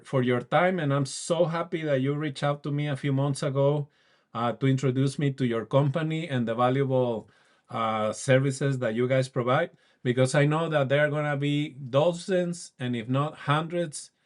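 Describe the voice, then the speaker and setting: A man hosting a business podcast, welcoming a guest in a friendly, eager way and adding short affirmations. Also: mid-range voice. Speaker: podcast host. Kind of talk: podcast interview.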